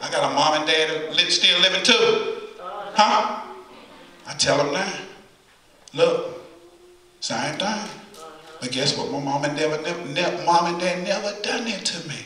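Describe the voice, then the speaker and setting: Middle-aged preacher, bright voice, echoey church. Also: male voice, on the high side, unhurried, pauses often. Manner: folksy, repeats phrases for emphasis. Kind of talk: conversational sermon with call and response. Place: church sanctuary at the pulpit. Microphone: far camera, pulpit mic. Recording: echoey church from far camera.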